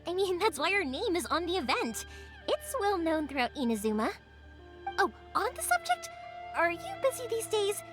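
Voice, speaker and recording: A bubbly young fireworks maker. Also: female voice, extremely high pitch, moderate pace. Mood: cheerful. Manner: energetic, chatty, patient with her father. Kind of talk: video game voice acting. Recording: game cutscene with music behind.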